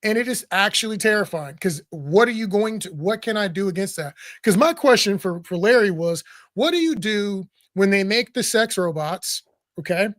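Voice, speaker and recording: A man with a notably high voice co-hosting a political talk show, blunt and animated, often breaking into laughter mid-sentence. The sound is dry and close, with no room around it. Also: moderate pace, no room sound. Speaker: co-host.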